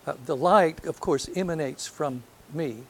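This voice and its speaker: An older man from North Alabama with a soft voice, giving a reflective lecture to an audience, hesitant and full of ums, with gentle self-deprecating humor. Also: fairly high pitch, a little slow, noisy background. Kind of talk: last lecture, reminiscence. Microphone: handheld or podium microphone.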